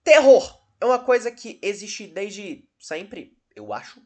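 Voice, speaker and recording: A man's voice: high voice, sing-song, slow, a little mellow. A Brazilian man hosting a video commentary, talking casually in a rambling, self-interrupting, dryly sarcastic way. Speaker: host of a video channel about horror cases.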